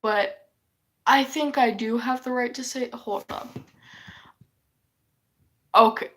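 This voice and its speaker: A woman's voice: on the high side, fairly even intonation, slow pace, a bit bright. A woman who is losing her voice from a cold, rambling in a casual vlog, sighing often and swearing offhandedly. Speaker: vlogger.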